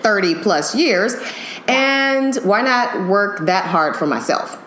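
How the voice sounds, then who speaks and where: A woman in her fifties chats as a podcast guest, telling animated stories with a lively, swooping pitch and frequent laughs. She sounds like she is in an echoey room with a faint hiss behind her. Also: slow. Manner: animated storyteller, laughs often. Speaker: podcast guest, TV makeup artist. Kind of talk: casual podcast chat.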